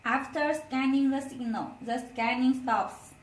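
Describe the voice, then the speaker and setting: A woman giving a step-by-step product tutorial as a demonstrator, with halting non-native phrasing. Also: high-pitched. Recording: roomy echo, distant microphone.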